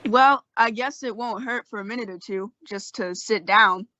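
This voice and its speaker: A woman's voice: a little high, moderate pace, sharp tone, lo-fi sound, a little hiss or hum. A woman in an old-time western radio play, voicing a crusty, teasing, long-winded frontier doctor. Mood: gruff.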